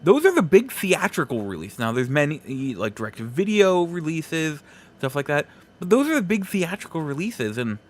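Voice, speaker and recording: A man hosting a solo podcast, giving casual opinion commentary in a halting, emphatic way, repeating phrases for effect. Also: a little high, a bit bright. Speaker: podcast host.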